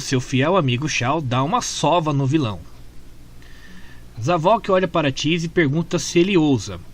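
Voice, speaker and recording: A Brazilian man narrates a scripted story recap with an expressive delivery. His voice sits fairly high and swings widely in pitch. Guitar music plays clearly behind him.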